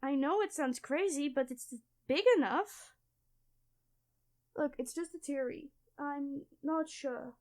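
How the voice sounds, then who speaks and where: A woman's voice: high-pitched, slow, a little mellow. A woman playing a clumsy, nerdy classmate who stammers and rambles apologetically in an ASMR roleplay. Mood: excited theorizing. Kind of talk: ASMR audio roleplay addressed to the listener.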